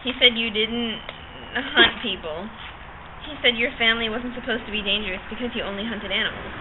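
Young woman, amateur dramatic reader, slightly high pitch, giggly delivery, noisy tinny outdoor camcorder audio. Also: a little fast. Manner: theatrical, often breaking into giggles. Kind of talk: dramatic read-aloud of a novel, giggly and informal. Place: open-sided park picnic shelter outdoors. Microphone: camcorder across a picnic table.

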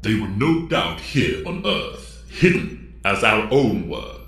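A man playing a grave, knowledgeable mentor with a deliberate, formal manner in an audio drama. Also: on the low side, very animated, fairly bright tone, exaggerated voice. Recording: roomy echo.

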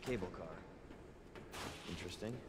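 A man's voice: low-pitched, moderate pace, very noisy, lightly acted. An actor playing a determined young male police officer in a video game, in a naturalistic style. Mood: quiet musing. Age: young adult. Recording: music behind.

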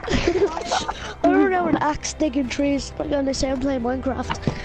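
Brief: young high-pitched gamer, teasing and bickering, game music under the voice. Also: female voice, measured pace, rough recording. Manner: teasing, bickering, laughs often. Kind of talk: casual banter while gaming. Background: game menu music.

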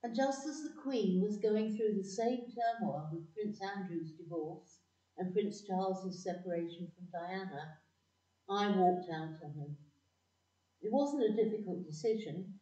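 Resolute, matter-of-fact woman in monologue, played by an actress; mellow voice, distant mic, community drama. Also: medium pitch, measured pace, natural voice. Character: resolute, candid woman recounting her own life. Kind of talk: monologue in amateur audio play. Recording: echoey room, distant mic.

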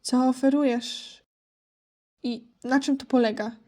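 A woman acts as a little male wolf in an audio drama. The voice is high yet soft-edged and takes its time. The delivery is curt and guarded, with a dismissive, snide streak. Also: fairly even intonation, slow. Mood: curt, impatient. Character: small young male wolf, sweet-looking but snide, guarded. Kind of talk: Polish amateur audio drama acting.

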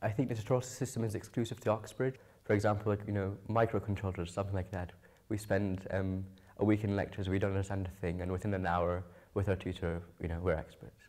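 Mellow, quick-spoken young man from Scotland. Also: low voice, flat delivery. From Glasgow, Scotland. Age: young adult. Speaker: engineering student. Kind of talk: casual interview talk.

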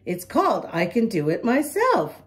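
A woman's voice: on the high side, wildly swooping pitch, normal speed. A woman reading a picture book aloud to young children, adding chatty little asides for her listeners. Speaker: children's storybook reader.

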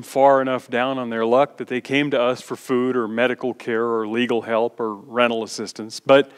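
A middle-aged male pastor giving a measured, reflective sermon in a church sanctuary, his phrases often trailing off softly. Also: medium pitch. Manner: measured, reflective preaching with soft trailing endings. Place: large church sanctuary, standing before the altar. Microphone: far camera. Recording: long church reverb.

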